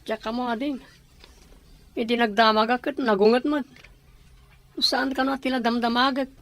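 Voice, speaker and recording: A woman with an Ilocano accent playing a resigned, protective older brother in a radio drama, speaking evasively and gently. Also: on the high side, steady tone, normal speed, close to an everyday voice.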